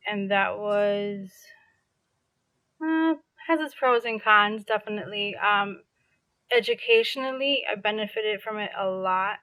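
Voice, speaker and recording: A woman's voice: mid-range voice, a little slow, sharp tone, no room sound. A young adult woman from New City, chatting casually as a podcast guest and filling her talk with "like" and restarts. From New York City. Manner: chatty, many "like" fillers and restarts. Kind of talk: casual interview conversation.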